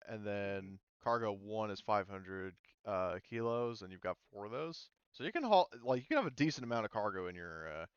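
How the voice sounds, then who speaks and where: A man running a tabletop roleplaying game, casually explaining rules in a halting, rambling, self-interrupting way. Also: on the low side, lively intonation, clean tone, no room sound, highs cut off. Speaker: game master.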